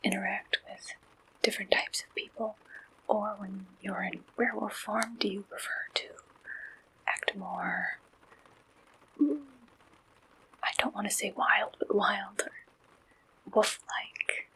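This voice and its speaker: Whispering woman in slow ASMR roleplay. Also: medium pitch, husky, rough recording. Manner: gentle, deliberate questionnaire-style questioning. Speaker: ASMR roleplay performer as dating service interviewer.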